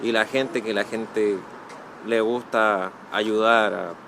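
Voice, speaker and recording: A man answering a street interviewer slowly, pausing as he searches for words. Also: fairly low pitch, steady tone, fairly bright tone. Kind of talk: casual street chat. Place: city street outdoors. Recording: street with clear traffic noise.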